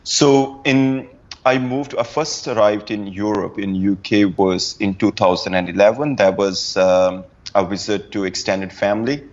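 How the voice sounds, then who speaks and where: A young man, a guest telling stories in a relaxed, casual way with frequent restarts. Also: on the low side, measured pace, dull audio. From Pakistan, Punjab. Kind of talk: casual interview answers.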